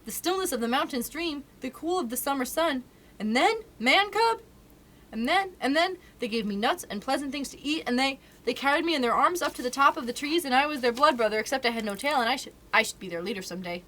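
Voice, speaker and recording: A woman voicing a sulky, boastful young boy raised in the jungle, whiny and excitable. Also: sits high, brisk, hardly any pauses, pitched above the performer's own voice, close to an everyday voice. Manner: whiny and excitable, shouting at times.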